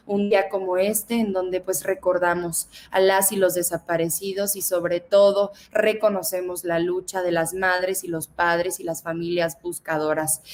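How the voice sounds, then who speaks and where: A Mexican woman in her twenties, a federal deputy, speaking emphatically in a political interview and addressing the audience. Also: lowish voice. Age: 20s.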